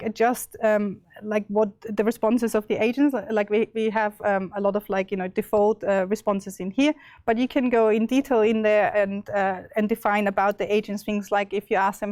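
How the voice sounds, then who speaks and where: A young woman with an Austrian accent presenting a live software demo, chatty and full of fillers and restarts. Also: mid-range voice, no room sound. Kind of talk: live tech demo walkthrough.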